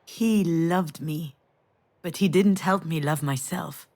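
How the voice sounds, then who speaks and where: A woman's voice: low voice, slow pace, darkish tone, heavily acted. An actress playing a wistful, wounded woman parting from her ex, speaking in a reflective, intimate way in a naturalistic game performance. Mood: reflective, solemn. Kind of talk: naturalistic visual novel voice acting.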